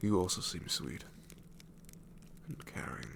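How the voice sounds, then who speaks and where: A man voicing a sassy male shadow demon in an ASMR roleplay, snarky and rambling with soft whispered asides. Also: deep voice, animated, slow pace, vocal fry, slight background noise, full character voice.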